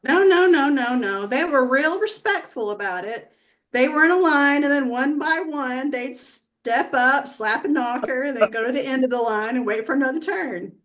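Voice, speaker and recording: A woman playing a brash, earthy abductee in a comedy sketch read, telling it in a blunt, folksy, deadpan way. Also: high voice, normal speed. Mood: insistent.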